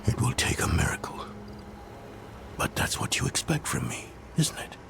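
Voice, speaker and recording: A middle-aged man playing a weary, grieving scholar in a fantasy game, halting and brooding, often muttering to himself. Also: medium pitch, big pitch swings, creaky, whispered. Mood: weary, sceptical. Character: grieving, weary older male scholar mending a relic. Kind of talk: dramatic fantasy game dialogue. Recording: dramatic music behind dialogue.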